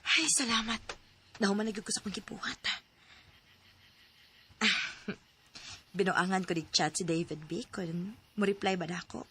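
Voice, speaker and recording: A woman's voice: mid-range voice, steady tone, moderate pace, long pauses, fairly bright tone, slight background noise, lightly acted. An actress with a Cebuano accent playing an emotional young woman in a radio drama. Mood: soft, lovelorn. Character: emotional young woman hurt by her boyfriend.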